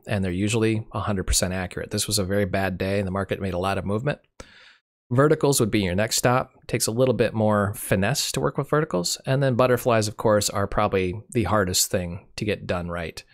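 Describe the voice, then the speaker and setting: Man with a cold, low voice. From Michigan. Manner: unscripted, self-correcting, dry humor. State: has a cold, losing his voice. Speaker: channel host. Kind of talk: casual screen-share walkthrough.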